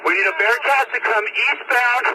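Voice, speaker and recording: A man's voice: extremely high pitch, normal speed. An American man, a police officer, passing field traffic over a police radio. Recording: very noisy police scanner radio audio.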